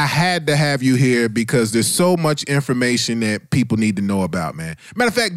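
A man's voice: on the high side, no echo, studio quality. A man hosting a radio show, interviewing in an informal, slangy way with chummy address. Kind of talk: casual conversational interview.

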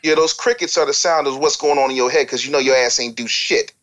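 A man's voice: mid-range voice, fast, a bit bright, dull audio. An American man giving opinionated, unscripted advice as a podcast guest, blunt, profane and scolding.

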